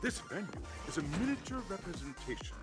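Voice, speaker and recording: A man's voice: high-pitched, big pitch swings, fast. An actor playing an elderly, theatrical tycoon in a video game, speaking in a grandiose showman's way. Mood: boastful, nostalgic. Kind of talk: video game character acting. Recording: game music behind the voice.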